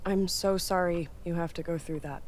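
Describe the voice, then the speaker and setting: A woman's voice: fairly low pitch, steady tone, moderate pace, a little mellow, lightly acted. A woman acting an earnest, awkward young woman in naturalistic drama dialogue, hesitant and repeating short phrases. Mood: concerned, guarded. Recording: faint background noise and ambience.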